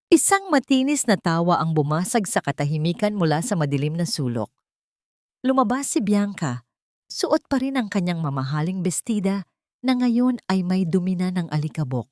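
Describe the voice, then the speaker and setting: A woman's voice: lowish voice, a little mellow, no room sound, studio quality. A woman narrating a scripted story in a dramatic style.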